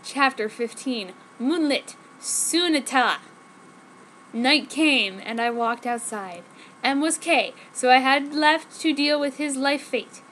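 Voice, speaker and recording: A high-pitched woman reads amateur fiction aloud in a mock-serious, theatrical way. Her delivery is exaggerated and dramatic. There is some noise behind her on the recording. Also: expressive, slow pace, a bit bright, rough recording. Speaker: amateur fanfiction reader. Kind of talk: exaggerated dramatic story reading. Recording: noticeable background noise.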